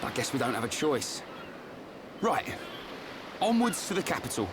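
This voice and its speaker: An actor playing a brash, skeptical young fighter in a video game, blunt and grumbling. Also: male voice, mid-range voice, sing-song, moderate pace, noisy background, close to an everyday voice. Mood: skeptical, uneasy. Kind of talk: video game cutscene acting.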